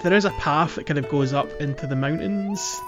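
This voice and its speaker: A man's voice: fairly high pitch, fairly quick. A man casually recapping a video game as a podcast host, explaining in a hesitant, giggly way. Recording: slightly dull audio, music underneath. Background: background music.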